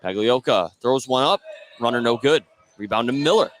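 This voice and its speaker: A male play-by-play announcer calls a basketball game live for radio. He speaks in short, clipped running phrases at an ordinary male pitch, with clear noise from the court behind him. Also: lively intonation, normal speed, a bit bright.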